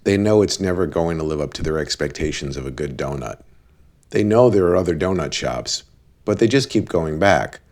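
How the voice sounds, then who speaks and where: A man playing a blunt, deadpan cab driver who gives relationship advice in an animated series. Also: rumbling low, moderate pace. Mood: dry, explanatory.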